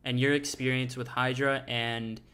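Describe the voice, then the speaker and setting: A man's voice: mid-range voice, level tone, moderate pace, bright, forceful tone, clear, resonant tone. A man hosting a casual interview, asking hesitant questions full of fillers. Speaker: podcast host.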